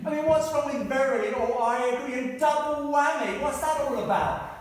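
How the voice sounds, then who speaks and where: A man's voice: extremely high pitch, normal speed. A man playing an exasperated stage manager who complains about the show, in an amateur comic radio play. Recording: echoey hall, distant mic.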